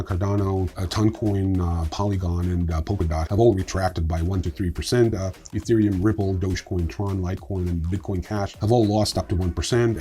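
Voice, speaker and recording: Male news host, low-pitched voice, dark mellow tone, informal scripted delivery, light music underneath. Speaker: host of a crypto news show. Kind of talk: scripted news narration with casual asides.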